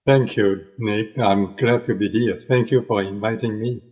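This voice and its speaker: A man's voice: on the low side, fairly even intonation, moderate pace, a little mellow, slightly rough. An older man from Hong Kong, with a Hong Kong accent, sharing a personal testimony as a podcast guest. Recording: dull lo-fi audio.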